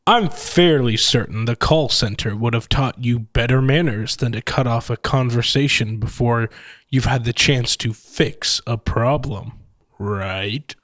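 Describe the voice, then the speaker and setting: An actor playing a gloating, sardonic killer who collects machines, with mocking, theatrically polite menace in an audio horror story. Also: male voice, mid-range voice, unhurried, a bit bright, natural voice. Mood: sarcastic.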